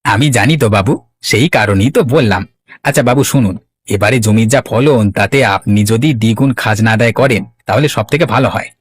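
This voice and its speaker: A man's voice: lowish voice, fairly quick, husky. A man voicing a greedy, fawning estate steward in a cartoon, persuasive and insinuating. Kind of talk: Bangla cartoon voice acting.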